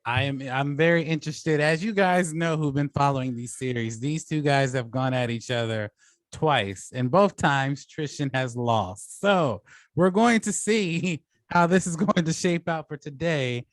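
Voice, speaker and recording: A man's voice: fairly high pitch, measured pace, dry recording. Casual man hosting, close dry sound. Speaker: debate show host and judge. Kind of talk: casual online debate hosting.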